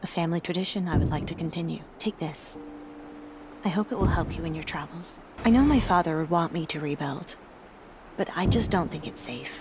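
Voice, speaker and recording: A woman's voice: lowish voice, fairly quick, noisy background, close to an everyday voice. An actress playing an earnest, wronged woman in a video game, with a heartfelt, emotional delivery. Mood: grateful, sorrowful. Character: earnest, wronged woman, bereaved daughter and sister.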